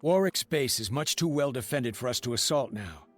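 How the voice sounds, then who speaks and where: An actor playing a determined, gruff frontier leader in a dramatic video game, with terse, resolute delivery. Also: male voice, mid-range voice, lively intonation, normal speed, a bit bright, soft background music.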